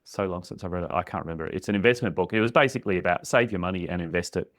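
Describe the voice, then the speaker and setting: A man from Queensland, answering interview questions in a relaxed, plain-spoken way. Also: sits low, racing, no room sound, studio quality. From Queensland, Australia. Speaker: podcast guest.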